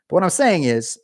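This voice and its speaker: A man's voice: on the high side, a bit bright, slightly rough, dry recording, studio quality. A young man chatting casually on a live stream from his garage, rambling and joking with viewers, his voice getting worn. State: losing his voice.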